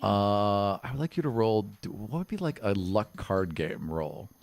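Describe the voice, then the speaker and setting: A man's voice: lowish voice, very animated, moderate pace. A man working as game master, narrating an improvised tabletop story in a casual, conversational way with frequent asides.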